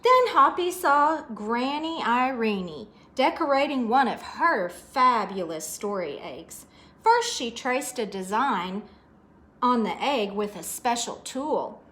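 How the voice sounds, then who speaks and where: A woman's voice: fairly high pitch, big pitch swings, slow pace, fairly bright tone. A woman storyteller reading a picture book aloud expressively to children, adding asides about the pictures.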